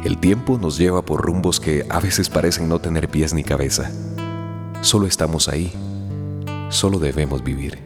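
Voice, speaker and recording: A man's voice: very deep voice, moderate pace, music in the background. A man narrating and announcing a radio drama from a script.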